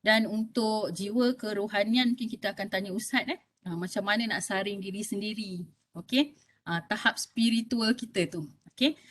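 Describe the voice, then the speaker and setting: A woman's voice: medium pitch, a bit bright. A woman in her fifties with a Malaysian Malay accent, giving a health talk to an audience in a conversational way and often checking in with "okey?". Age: 50s. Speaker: health talk speaker, doctor.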